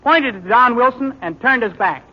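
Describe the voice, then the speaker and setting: A man playing a naive, dim-witted young singer in 1940s radio comedy, innocent and slow on the uptake. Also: extremely high pitch, expressive. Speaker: comedy show singer in a sketch. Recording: dull hissy 1940s radio recording.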